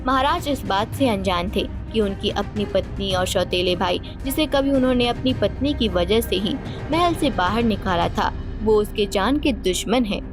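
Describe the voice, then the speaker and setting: A woman's voice: medium pitch, animated, moderate pace, hardly any pauses. A woman reading scripted storytelling narration as the narrator of a tale. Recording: loud music bed behind voice.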